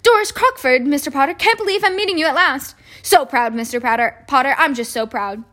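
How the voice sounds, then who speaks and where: A woman's voice: a little high, fairly even intonation, normal speed, fairly bright tone, natural voice. A woman voicing a flustered, gushing female admirer, fluttery and effusive, in a storybook reading. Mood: flustered, proud.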